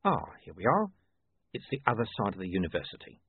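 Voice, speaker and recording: A man voicing a calm, scheming criminal who talks deliberately and condescendingly in an audiobook. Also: on the low side, breathy edge, no echo, narrow, tinny audio, heavily acted. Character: smooth-talking, scheming male criminal, calm and patient.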